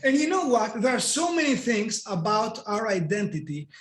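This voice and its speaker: High-voiced Greek-accented man, emphatic. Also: sing-song, moderate pace. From Greece. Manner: emphatic, asks rhetorical questions and answers them himself. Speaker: guest coach and NLP trainer. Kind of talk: explanatory interview talk. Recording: echoey room.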